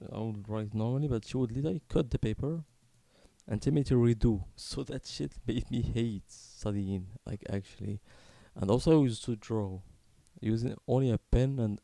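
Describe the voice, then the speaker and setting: A man in his twenties from Morocco tells a personal story unscripted, in a halting, candid, casually sweary way. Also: on the low side, creaky, warm tone, dry recording. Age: 20s. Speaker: video creator telling his life story.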